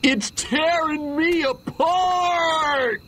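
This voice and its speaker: A man's voice: very high voice, drawn-out words, pushed and bright, raised voice, slight background noise, exaggerated voice. A man with an American accent playing a restless, dim 1950s teenage rebel in a video game, overwrought and melodramatic. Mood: anguished.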